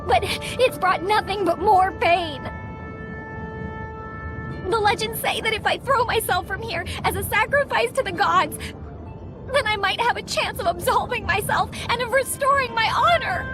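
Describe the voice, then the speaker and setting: A very high-pitched young female game character, steeped in guilt and despair. She speaks in an anguished, pleading way, with music clearly behind her. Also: moderate pace. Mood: anguished, resigned. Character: despairing, guilt-ridden young woman seeking salvation. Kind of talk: dramatic video game voice acting.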